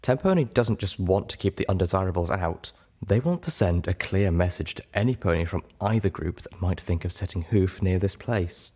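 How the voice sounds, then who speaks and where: A man voicing a composed, kindly female unicorn with a measured, articulate and firm delivery in an audiobook story. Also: low voice, lively intonation, a little mellow. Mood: firm, explanatory.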